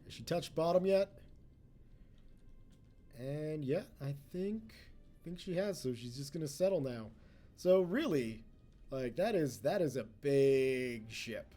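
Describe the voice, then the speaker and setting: A young man chatters through a gameplay commentary, his mellow voice rising and falling a lot, a touch higher than most men. He rambles, jokes at his own expense and cheers the game on. Faint music sits under the recording. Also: animated, slow, long pauses. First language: English. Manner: rambling, self-mocking, talks to the game. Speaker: let's play host.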